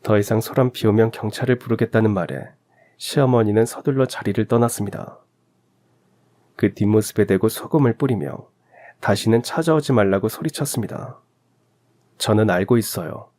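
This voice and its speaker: A man's voice: lowish voice, normal speed, a little mellow. A man reading a scripted first-person story as the narrator of a radio drama. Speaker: narrator of audio stories.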